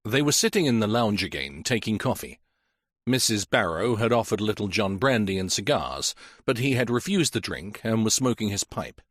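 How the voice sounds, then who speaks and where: A male narrator reads a suspense novel with a steady, expressive delivery. His voice is on the low side but moves a lot in pitch, keeping the sentences alive. The sound is dry and close, with no room echo. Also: normal speed. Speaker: audiobook narrator. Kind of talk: scripted story narration.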